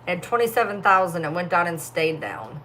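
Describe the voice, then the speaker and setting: A woman's voice: on the low side, lively intonation, brisk, sharp tone, a little hiss or hum, rough recording. A young woman chatting casually on a phone livestream, sleepy and yawning, with a distinctive voice and lots of filler words. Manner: rambling, heavy filler words. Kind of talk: casual live-stream chat reading comments.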